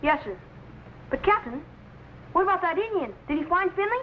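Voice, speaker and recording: A very high, lively boy's voice, eager and impulsive, in an old-time sci-fi serial. The recording is dull and clearly noisy. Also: fairly quick. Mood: earnest, breathless. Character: eager, impulsive boy assistant to a time traveler. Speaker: actor playing the captain's assistant. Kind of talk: old-time sci-fi adventure drama acting.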